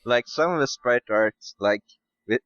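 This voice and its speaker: A man's voice: medium pitch, animated, unhurried, a bit bright, no room sound. A man giving blunt, casual opinions as a podcast guest, over a dull voice-call sound.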